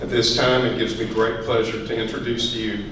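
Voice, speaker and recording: A man gives a faltering introduction at a graduation ceremony, stopping for filler sounds again and again. He sits at a middling pitch for a man. The recording is very echoey and distant, with clear noise beneath it. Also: level tone, fairly bright tone. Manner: halting, many filler sounds. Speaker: residency faculty introducing the speaker. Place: large hall. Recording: echoey hall, distant and noisy.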